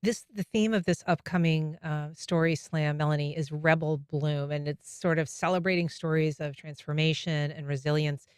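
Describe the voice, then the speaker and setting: A woman hosting a public radio interview, asking questions in a smooth, curious, conversational way. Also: sits low, steady tone, normal speed, few pauses, darkish tone, no room sound, clean studio sound. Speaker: radio show host. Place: radio studio.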